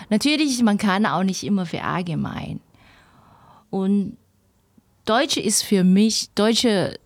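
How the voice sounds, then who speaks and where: Middle-aged woman with Chinese accent, lowish voice. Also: darkish tone, no echo. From Taiwan. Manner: non-native grammar, hesitant searching phrasing. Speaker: interviewee, language professor. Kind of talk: reflective interview answers in conversational speech.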